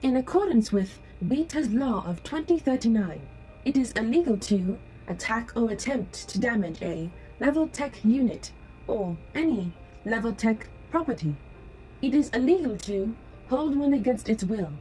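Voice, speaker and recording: Actress as female AI service unit: higher-pitched, very melodic intonation, formal, courteous, rule-quoting, audio drama. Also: lively intonation, moderate pace, dark tone, close to an everyday voice, poor audio. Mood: official, warning. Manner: formal, procedural, polite. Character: polite, rule-bound female holographic android sales unit. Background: music.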